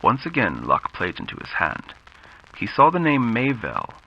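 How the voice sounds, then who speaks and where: A man narrating a scripted horror story in the style of classic radio drama, with measured, dramatic delivery. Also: fairly low pitch, huge pitch swings, gravelly. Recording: muffled lo-fi audio with background noise.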